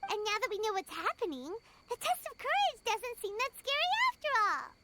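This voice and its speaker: Squeaky, very high-pitched fairy companion. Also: female voice, fairly quick, heavy background noise. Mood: relieved, chatty. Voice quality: squeaky, childlike. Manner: excitable, refers to herself in third person. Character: tiny floating childlike fairy companion, female, chirpy and talkative. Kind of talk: video game voice acting. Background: loud game music.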